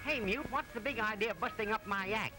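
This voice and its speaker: A man's voice: sits high, a little fast, over music, lightly acted. A man voicing a cheerful, boastful cartoon film character with brash, slangy delivery on an old 1920s film soundtrack. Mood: indignant.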